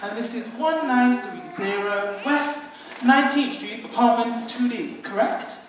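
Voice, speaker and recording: A woman acting a formal, firm child welfare agent in a stage play, with a measured official manner. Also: fairly high pitch, close to an everyday voice. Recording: very echoey theatre, distant mic, faint noise.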